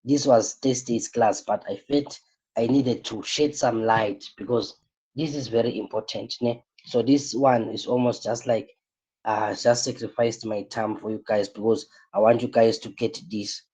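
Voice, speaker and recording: Weary husky-voiced man, business coach in a live class, dark mellow tone. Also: mid-range voice, fairly even intonation, a little slow, low-quality recording. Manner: repeats points, asks rhetorical "what?" questions. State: tired, voice strained.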